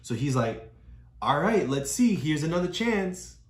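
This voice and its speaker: A woman with a notably low voice talks a child through a picture book, patiently explaining and circling back to the same phrases. The room around her is echoey. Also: sing-song, unhurried, a little mellow. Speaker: parent reading with a child.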